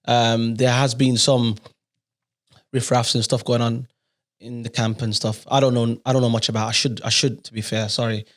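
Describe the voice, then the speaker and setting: A man from the Netherlands hosts a casual interview podcast. His voice is of middle pitch, and he talks informally, often doubling up short phrases as he puts questions to his guest. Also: little pitch movement, moderate pace. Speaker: podcast host.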